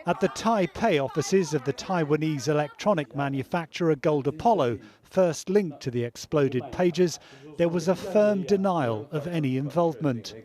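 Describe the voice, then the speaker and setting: Male news reporter, slightly high pitch, scripted voice-over. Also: lively intonation, normal speed, crowd noise.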